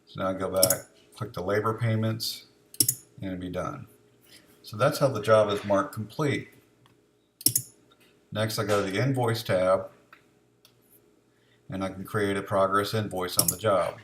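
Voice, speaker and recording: A man giving an informal, unscripted step-by-step software demonstration, explaining each step as he goes. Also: sits low, steady tone, a little slow, pauses often, a little hiss or hum.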